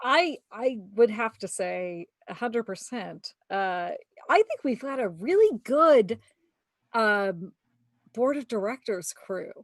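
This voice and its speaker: Tipsy high-voiced woman in her forties. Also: expressive, slow, dry recording. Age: 40s. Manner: laughing, blunt and profane. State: tipsy from cocktails. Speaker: podcast co-host. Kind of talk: casual chat.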